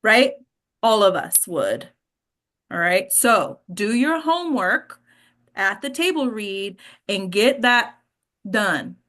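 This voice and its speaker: A woman's voice: mid-range voice, very animated, slow, long pauses, fairly bright tone. A woman hosting and coaching actors in an informal talk, frank and addressing her listeners directly. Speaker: host and acting coach.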